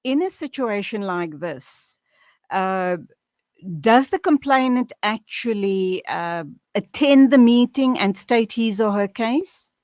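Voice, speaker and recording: A woman hosting a radio interview, asking long, formal questions in a measured way. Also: on the high side, expressive, a little mellow, dry recording. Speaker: radio host.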